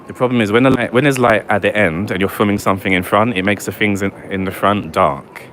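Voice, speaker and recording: Guy vlogging live on a walk, low-ish voice, loud traffic noise behind. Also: fast. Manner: chatty, wry, talks to live chat. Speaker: livestream vlogger. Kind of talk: walking livestream commentary. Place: city streets at night, outdoors. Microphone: handheld phone or camera mic while walking. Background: traffic and street ambience.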